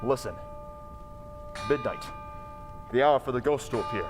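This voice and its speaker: An actor playing a calm, bold gentleman investigator in an old-time radio mystery, speaking in a measured, formal way. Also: medium pitch, over music, lightly acted. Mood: hushed calm. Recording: reverberant hall, background hiss.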